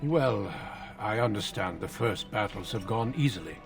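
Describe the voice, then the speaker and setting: Low, grave fantasy warrior, distant. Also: male voice, expressive, creaky, soft background music. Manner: formal, measured, solemn. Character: grave, courteous warrior recruiter of a fantasy order. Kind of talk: fantasy game voice acting.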